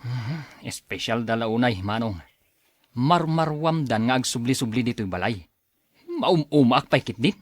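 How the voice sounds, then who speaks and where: A man playing an engineer and younger brother, proud but able to soften, in an Ilocano radio drama. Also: medium pitch, expressive, unhurried, no room sound. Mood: irritated, reluctant. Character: engineer younger brother, reluctant then humbled helper of relatives.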